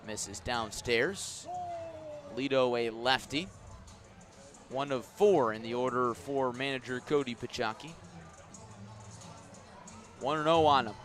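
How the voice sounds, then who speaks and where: A man doing live baseball play-by-play as a radio announcer, giving measured, detailed commentary that rises on each pitch. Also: fairly bright tone.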